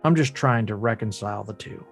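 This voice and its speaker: A man's voice: lowish voice, a little fast, soft background music. A man playing an earnest, probing adult son, speaking directly and bluntly in a drama table read. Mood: earnest, resigned.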